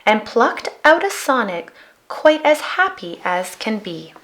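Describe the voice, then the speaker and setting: A woman reading a children's picture book aloud with expressive narration. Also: a little high, a little slow. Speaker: storybook reader.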